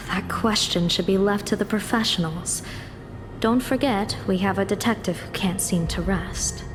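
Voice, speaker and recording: A woman voicing a composed, knowing strategist and seer in a video game, speaking in a solemn, measured manner. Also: medium pitch, lightly acted. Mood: wry, confiding. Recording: long hall reverb over loud music.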